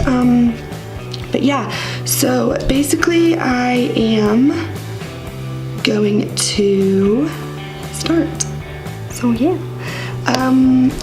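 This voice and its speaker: Sick, raspy-voiced woman vlogger. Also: mid-range voice. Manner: rambling, filler-heavy, chatty. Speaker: beauty vlogger. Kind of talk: casual makeup tutorial.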